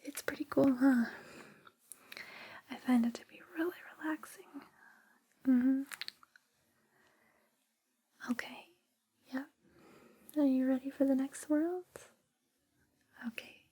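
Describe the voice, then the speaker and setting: A woman doing an ASMR roleplay as a gentle guide, speaking in a soothing, reassuring, breathy whisper with unhurried pauses. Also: high voice, fairly even intonation. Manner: soothing, reassuring personal attention; slow guided-relaxation delivery with pauses. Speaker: ASMR host playing a space travel guide.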